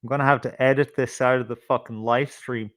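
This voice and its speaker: A man's voice: mid-range voice, fairly even intonation, brisk, a bit bright, no room sound. A middle-aged man with an Irish accent hosting a casual live stream, swearing freely as he rambles and hesitates through his commentary. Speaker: livestream host.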